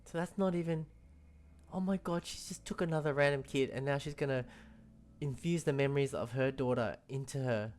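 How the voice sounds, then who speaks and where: A woman's voice: extremely low pitch, fairly quick, darkish tone. A woman doing casual gameplay commentary, thinking aloud with puzzled reactions and exclamations. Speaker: game streamer. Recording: game music behind voice.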